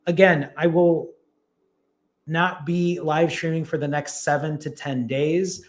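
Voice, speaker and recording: A man hosts a live stream as a fasting coach. His voice sits a little high, and he chats casually and encourages his viewers directly as he answers their comments. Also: fairly even intonation. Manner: talks straight to viewers, upbeat and encouraging.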